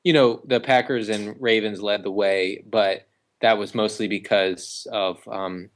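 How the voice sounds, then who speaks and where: A man co-hosting a casual sports podcast, talking in a halting way full of ums and uhs, with dry jokes. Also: on the low side, steady tone. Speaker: podcast co-host.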